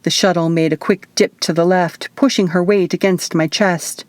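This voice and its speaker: Female audiobook narrator, fairly low register, scripted first-person storytelling, dry booth-like sound. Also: steady tone, moderate pace, no room sound. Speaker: author reading own audiobook.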